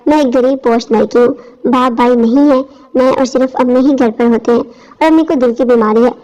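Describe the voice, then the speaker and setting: A woman's voice: high-pitched, steady tone, a little fast, darkish tone. A woman reading a scripted first-person story as its narrator. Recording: dull lo-fi audio.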